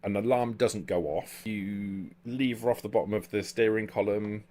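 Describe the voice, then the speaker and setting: A man improvising narration as game master of a tabletop role-playing game, descriptive and halting as he builds each scene. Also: lowish voice, fairly even intonation, a little mellow. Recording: background music under the voice. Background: music.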